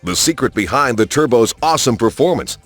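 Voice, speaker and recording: A man reading scripted promotional documentary narration in a polished, measured announcer style, as for a car showcase in a video game. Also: mid-range voice, animated, a bit bright, no room sound. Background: prominent background music.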